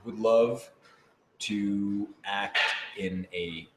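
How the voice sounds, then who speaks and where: A man chatting casually and unscripted on a live stream, playful and exclamatory, often halting mid-thought. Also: fairly low pitch, fairly even intonation, music in the background. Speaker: radio drama cast member answering fan questions. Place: office, indoors. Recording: echoey room with background noise.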